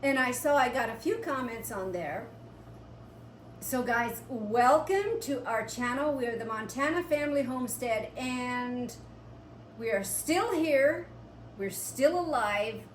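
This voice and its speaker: Slow-talking woman in an echoey room. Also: on the high side, animated. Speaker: co-host of a family homestead channel. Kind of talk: casual unscripted chat. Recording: roomy, distant mic with faint noise.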